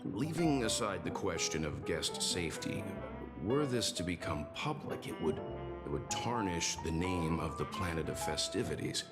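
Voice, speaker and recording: An actor playing a composed, analytical, courteous advisor in a video game, speaking formally and deliberately. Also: male voice, low voice, expressive, over loud music. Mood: grave, pensive. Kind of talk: scripted game dialogue.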